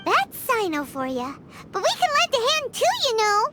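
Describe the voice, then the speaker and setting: A woman voicing a tiny, excitable female companion with a very high, squeaky voice in a video game. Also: heavily acted. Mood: surprised. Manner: excitable, quick exclamations. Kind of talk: game voice acting. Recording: game audio with loud music.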